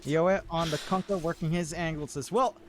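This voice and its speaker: A man casting a live esports match, breaking down the play with brisk analysis and joking asides. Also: fairly high pitch, steady tone, noisy background. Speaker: esports caster. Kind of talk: live game commentary.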